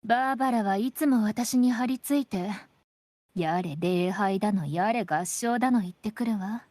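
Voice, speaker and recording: Cool, aloof, mellow-voiced woman played by an actress. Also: medium pitch, dry recording, clean studio sound, lightly acted. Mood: wearily aloof. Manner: slow, soft, detached with wry edge. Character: cool, aloof, teasing young woman in fantasy game.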